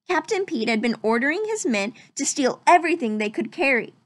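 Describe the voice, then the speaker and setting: Animated, high-pitched woman reading a storybook. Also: a little fast, no room sound, clean studio sound. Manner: expressive, dramatic storytelling. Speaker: storybook reader. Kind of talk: scripted children's story narration, read aloud.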